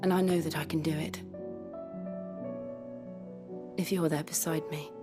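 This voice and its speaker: An actress playing a grave, determined young woman in a video game, speaking in an earnest, measured way. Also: low voice, steady tone, over music, natural voice. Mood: solemn resolve. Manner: earnest, measured, solemn delivery. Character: grave, determined young woman, former captive seeking closure. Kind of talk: dramatic video game voice acting.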